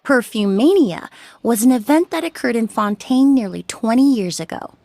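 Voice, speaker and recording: A woman playing an elegant young perfumer in a video game, composed and sincere, explaining things in a measured, refined way. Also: on the high side, lively intonation, vocal fry, mellow, slight background noise. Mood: composed, explanatory. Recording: music playing behind the voice.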